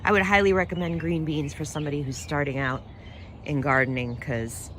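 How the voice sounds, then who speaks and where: A woman's voice: low-pitched, fairly even intonation, fairly quick, a bit bright, slight background noise. A middle-aged woman chatting casually as a co-host and vlogger. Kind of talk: casual chat.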